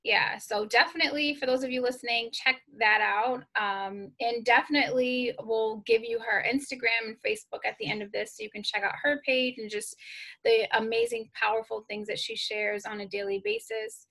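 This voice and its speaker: A woman's voice: on the high side, normal speed, creaky, sharp tone. A woman hosting a podcast in a casual, conversational interview style. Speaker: podcast host.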